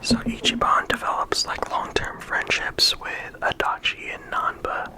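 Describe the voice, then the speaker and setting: A person whispering in ASMR style, talking through a discussion in a casual, halting way with lots of filler words. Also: low-quality recording. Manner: casual, halting phrasing with frequent "like" and "you know". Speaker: video creator and host.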